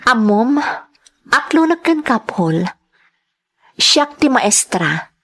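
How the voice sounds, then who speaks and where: Casting: gentle, troubled young schoolteacher and dutiful daughter, Filipino accent, emotive, melodically varied radio drama delivery. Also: female voice, a little high, big pitch swings, slow, exaggerated voice. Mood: troubled, confiding. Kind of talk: Ilocano radio drama acting.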